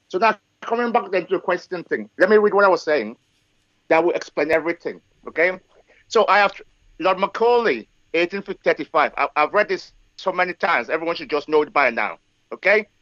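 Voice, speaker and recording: Man, forties, from Africa, high voice, repeats points and keeps asking "okay?", dull recording. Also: a bit bright, dry recording. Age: 40s. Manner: emphatic, repetitive, rhetorical checks like okay and yes. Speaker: guest speaker. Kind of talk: live discussion.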